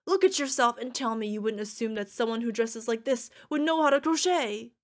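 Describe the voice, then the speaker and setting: A woman acts out a young orc girlfriend in an audio roleplay. Her voice is fairly high, and she is gushing, needy and playful. She is fierce yet cutesy, speaking intimately to a partner. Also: animated, brisk, close to an everyday voice. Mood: playful, defensive. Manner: gushing, emotional, playful, talking to an unheard partner. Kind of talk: ASMR girlfriend roleplay acting.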